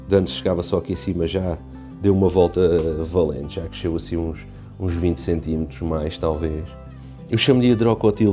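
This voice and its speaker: A deep-voiced man from Portugal with a European Portuguese accent talks in a relaxed, chatty way, often restarting his sentences. His tone is dark and mellow, and faint background music plays under him. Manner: chatty, rambling, many restarts and fillers. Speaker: aquarium hobby youtuber. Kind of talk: casual walkthrough narration.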